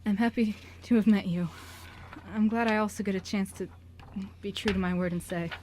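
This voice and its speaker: A woman playing a gentle, serene male ghost in an amateur radio drama, speaking sincerely and haltingly. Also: medium pitch, fairly even intonation, a little hiss or hum, natural voice. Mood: loving farewell.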